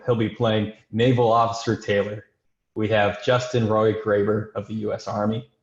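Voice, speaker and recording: A man hosting and reading scripted introductions and screenplay narration, recorded in a roomy, muffled sound. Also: medium pitch. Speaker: host and stage-direction narrator. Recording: roomy, dull-sounding microphone.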